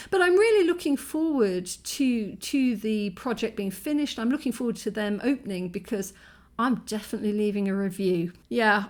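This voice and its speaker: A woman vlogging to camera, exhausted from lack of sleep, her voice croaky and strained as she rambles in frustration with many ums and restarts. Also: a little high. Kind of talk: candid talking-head vlog.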